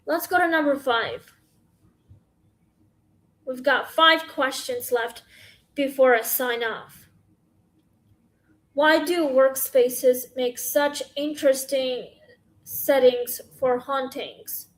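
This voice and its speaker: A woman with a high, scratchy voice talks slowly into her phone. She rambles through questions in a casual, halting way, full of pauses and fillers. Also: fairly even intonation. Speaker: podcast host. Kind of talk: casual Q&A chat.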